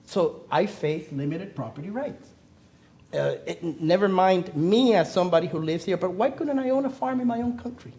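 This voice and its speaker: A man's voice: fairly high pitch, a little mellow. A middle-aged Cuban-born man giving an earnest academic seminar talk as a panelist, pressing his points with rhetorical questions. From Cuba. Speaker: panelist speaking on the economy. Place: theater.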